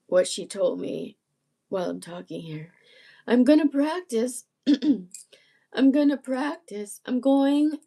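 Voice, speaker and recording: Woman, gravelly, husky, strained voice, slow, rambling, clears throat often. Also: a little high, dark tone. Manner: rambling, self-interrupting, frequent throat clearing. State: voice strained after illness and vocal therapy. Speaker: musician and channel host. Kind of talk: casual live-stream chat.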